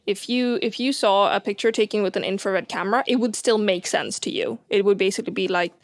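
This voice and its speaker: A woman's voice: mid-range voice, a little fast, dry recording. Chatty Swedish-accented woman, dry sound. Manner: enthusiastic, many fillers and restarts. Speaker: co-host, astronomer. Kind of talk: casual science chat.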